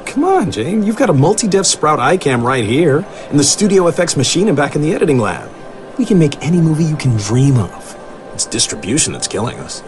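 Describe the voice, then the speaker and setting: A young actor playing a cheeky, eager film graduate who wisecracks conversationally in an animated comic drama. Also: male voice, on the high side, a little fast, background noise. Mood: coaxing. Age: young adult.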